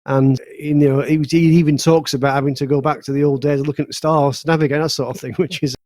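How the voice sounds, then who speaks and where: A man's voice: fairly high pitch, flat delivery, quick pace, darkish tone, no echo. A British man on a podcast panel talking through news in a casual, rambling, explanatory way.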